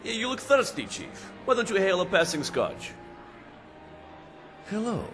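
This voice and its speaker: A man's voice: huge pitch swings, a little slow, full character voice. An actor voicing an oily, flamboyant male party host in a game cutscene. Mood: smarmy. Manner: flamboyant, drawling, swoops in pitch. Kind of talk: video game cutscene acting. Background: background music.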